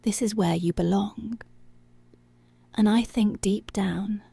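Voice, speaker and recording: A woman playing a dominant, seductive policewoman in an intimate ASMR roleplay, giving hushed, deliberate commands that are possessive yet tender, close to the microphone. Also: medium pitch, steady tone, gravelly, breathy edge, dry recording, heavily acted. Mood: possessive, soothing. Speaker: ASMR voice actor. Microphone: close, dry microphone.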